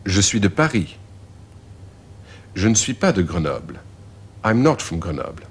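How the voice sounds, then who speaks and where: A man's voice: sits low, big pitch swings, measured pace, slightly rough. A man presenting a language course, explaining phrases and modelling them for learners. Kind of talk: scripted teaching narration.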